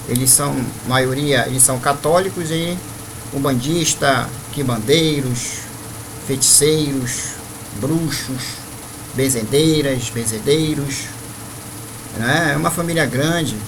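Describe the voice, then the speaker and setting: A man in his fifties from northeastern Brazil, telling his spiritual life story to viewers at an unhurried pace with frequent tag questions. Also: fairly high pitch, background noise. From Maranhão, Brazil. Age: 50s. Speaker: spiritual leader.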